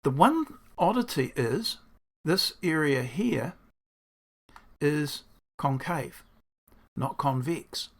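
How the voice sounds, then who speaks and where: A man, a builder, talking through his design in a casual diary-style video, with a croaky, hoarse voice, rambling and self-deprecating as he thinks aloud. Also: fairly high pitch, lively intonation, slow pace.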